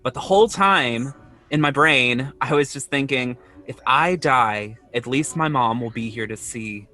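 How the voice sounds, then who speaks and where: A man playing an anxious, defensive man who confides haltingly and self-consciously in a naturalistic audio drama. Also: mid-range voice, pushed and bright. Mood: anxious, confessional. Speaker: podcast host playing himself in audio drama.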